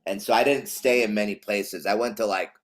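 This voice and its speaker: A man from Chile speaks as a guest on a casual podcast. His voice is low for a man, and he tells his stories in an easygoing, rambling way. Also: brisk. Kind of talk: casual interview chat.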